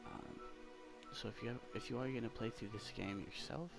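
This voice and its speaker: A man giving casual, low-key ASMR game walkthrough commentary in a rambling, hesitant way. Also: normal speed, vocal fry. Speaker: gaming ASMR creator. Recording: loud game music behind voice. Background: video game music and sounds.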